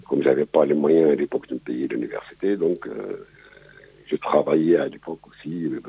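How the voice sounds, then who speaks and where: Older man, very deep gravelly voice, dark husky tone, hesitant with many fillers, dull radio sound. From Palestine. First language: Arabic. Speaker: guest. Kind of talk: radio interview, life story.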